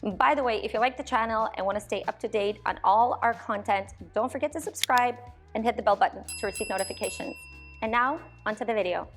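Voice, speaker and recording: Higher-voiced woman presenting. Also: fast talker, fairly bright tone. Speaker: channel host. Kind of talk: presenter introduction to camera. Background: music.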